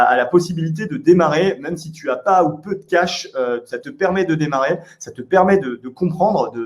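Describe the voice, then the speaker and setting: A man's voice: on the high side, a little mellow. A man speaking at a conference, coaching the listener directly with many fillers. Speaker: real estate investor speaker.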